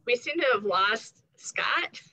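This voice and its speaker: Bright-voiced woman reading panel questions. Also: fairly high pitch, expressive, slow, low-quality recording. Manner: reads audience questions aloud. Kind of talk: online panel discussion. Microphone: video call.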